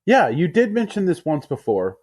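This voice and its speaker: A man co-hosting a podcast in relaxed, casual conversation. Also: on the high side, moderate pace, slightly rough, dry recording. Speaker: podcast co-host. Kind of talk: casual chat.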